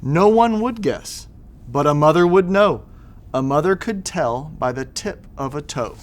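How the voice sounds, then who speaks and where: A man reading to children as a storybook narrator, expressive and sing-song with the rhymes. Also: a little high, a little slow. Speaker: school superintendent reading to children.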